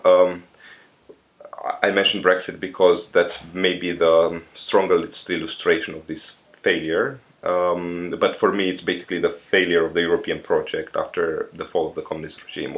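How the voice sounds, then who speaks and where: Really low-voiced romanian guy in his 30s, slow thoughtful interview answers, lots of ums and restarts. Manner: thoughtful, halting, many fillers and restarts.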